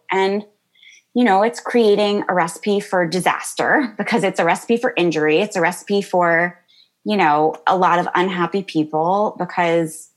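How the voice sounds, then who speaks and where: Woman yoga teacher, high-pitched and bubbly, talks casually in an interview. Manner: bubbly, laughs often, many fillers. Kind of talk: interview answers, casual chat.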